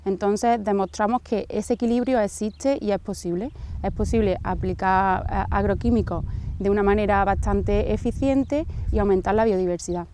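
A woman's voice: mid-range voice, moderate pace, mellow, a little hiss or hum. A young woman with a Spain accent, explaining her work as an agricultural researcher in an interview. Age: young adult.

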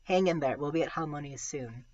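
A man's voice: fairly high pitch, a little fast, dull audio, close to an everyday voice. A man voicing a tense, weary mother from a storybook, caring but short on patience. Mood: concerned. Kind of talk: storybook read aloud.

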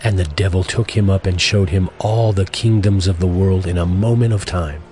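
A man reading scripture as the narrator of an audio drama, in a measured, solemn way. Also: deep voice, darkish tone, slight background noise.

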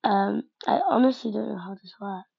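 A woman's voice: mid-range voice, normal speed, vocal fry, slightly rough, dry recording. A woman casually narrating while she decorates a game house, thinking aloud with many fillers and hesitations. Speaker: gaming vlogger. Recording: dull lo-fi home mic.

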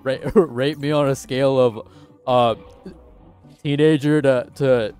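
A man's voice: mid-range voice, steady tone, slow, a little mellow, clear, resonant tone. Rambling young man streaming a game. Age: 20s. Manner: rambling, self-mocking, laughs at himself. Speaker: livestreamer. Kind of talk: casual game livestream chat. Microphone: headset mic at desk.

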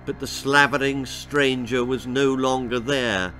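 A man's voice: mid-range voice, steady tone, a little slow, bright, forceful tone, faint music behind. An older man in his sixties or beyond with an English accent, narrating a children's story expressively and with dramatic relish. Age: 60s or older. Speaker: author reading his story. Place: small book-lined room indoors.

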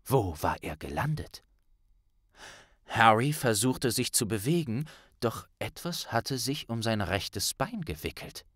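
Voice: mid-range voice, very animated, measured pace, fairly bright tone, dry recording, clean studio sound. An audiobook narrator reading a dramatic scripted story.

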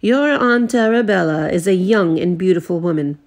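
A woman's voice: on the low side, lively intonation, moderate pace, a little mellow. Woman reading a composed formal butler. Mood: soothing. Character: calm, proper, unflappable male butler.

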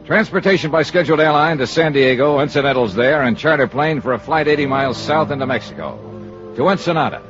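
An actor playing a wry, hard-boiled American insurance investigator in 1950s radio style, with dry, sardonic, clipped delivery. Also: male voice, on the high side, fast, lightly acted. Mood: dry narrating. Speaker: radio drama lead and narrator. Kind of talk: 1950s American radio detective acting, clipped first-person narration. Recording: muffled old radio recording, organ music.

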